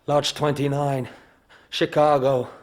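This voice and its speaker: Bold, high-ish tough outlaw. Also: male voice, little pitch movement, slow pace. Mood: steady, terse. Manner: bold, terse. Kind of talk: radio drama acting.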